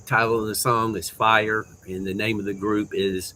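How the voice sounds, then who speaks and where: A man hosting a livestream, chatting casually and slowly to a viewer. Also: fairly low pitch, level tone, bright, forceful tone, a little hiss or hum. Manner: slow, drawn-out, addresses a viewer by initials. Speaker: livestream host.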